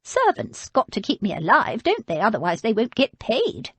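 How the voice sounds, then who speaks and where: A woman's voice: on the high side, wildly swooping pitch, moderate pace, slightly rough, no echo, pitched above the performer's own voice, heavily acted. Woman putting on a tart, frail old aristocrat. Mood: scornful. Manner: tart, haughty, querulous old-lady voice. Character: frail proud elderly aristocratic lady, sharp-tongued.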